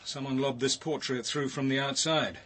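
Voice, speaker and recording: Male actor as composed, articulate detective, radio drama. Forceful, bright tone at medium pitch, exact wording. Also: steady tone, a little hiss or hum, natural voice. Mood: measured, observant. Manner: measured, precise, articulate. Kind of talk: acted British radio drama dialogue.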